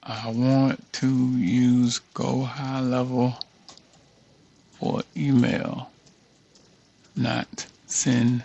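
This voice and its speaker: Man, gravelly creaky voice, informal tutorial walkthrough, muttered reading aloud, thinking out loud. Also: mid-range voice, level tone, very slow, pauses often, lo-fi sound. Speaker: tutorial creator.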